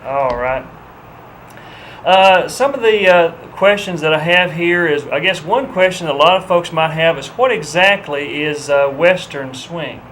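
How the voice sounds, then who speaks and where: A man's voice: fairly high pitch, measured pace, a bit bright, a little hiss or hum. A male TV interviewer asking a guest questions in a hesitant way, with many "uh" fillers. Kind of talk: local TV interview.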